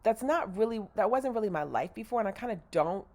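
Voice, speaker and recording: A woman's voice: medium pitch, lively intonation, quick pace, a little mellow. A woman with a slightly nasal, congested voice, rambling candidly in a casual video diary and correcting herself as she goes. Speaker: vlogger.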